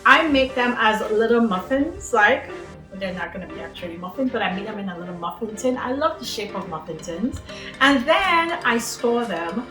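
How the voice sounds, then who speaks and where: A woman's voice: a little high, lively intonation, normal speed, sharp tone, echoey room, soft background music. A woman from Trinidad and Tobago hosting a home cooking demonstration, chatty and talking to viewers as friends. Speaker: cooking show host.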